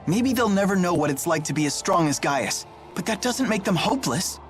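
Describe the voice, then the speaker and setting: A woman's voice: fairly low pitch, animated, brisk, lightly acted. A woman voicing a kind, earnest, hopeful teenage boy in a video game. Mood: concerned. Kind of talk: English video game voice acting. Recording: background music under the voice.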